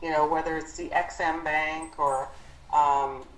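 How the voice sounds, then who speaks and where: A woman leading a team conference call as an instructor, explaining business matters in a halting, encouraging way as she thinks aloud. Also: sits low, flat delivery. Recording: roomy conference call audio.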